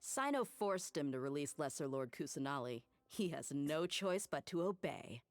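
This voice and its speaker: A woman's voice: low voice, animated, normal speed, no echo, soft background music. A woman playing a brash, good-hearted young mercenary in an anime game, with a blunt, casual, swaggering delivery. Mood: confident.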